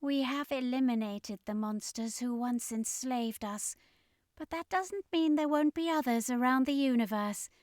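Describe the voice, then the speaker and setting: Woman acting as vengeful alien octopus emperor, high-pitched, imperious, deliberate, audiobook villain, dry recording. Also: a little mellow, no echo. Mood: defiant. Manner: slow, deliberate, imperious delivery. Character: vengeful, proud octopoid alien emperor, male monster tyrant. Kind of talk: audiobook character voice.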